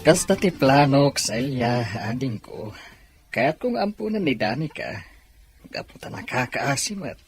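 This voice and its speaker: A man playing a kindly, thoughtful character in a Filipino radio drama, with a raspy voice. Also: medium pitch, very animated, measured pace. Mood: earnest. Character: kindly, thoughtful unmarried man planning an adoption.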